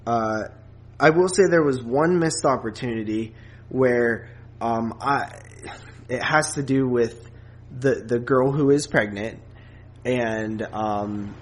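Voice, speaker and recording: A man talks in an average-pitched voice, as a podcast co-host casually reviewing a film. His phrasing is halting and hesitant, as if he is working out each opinion while he speaks.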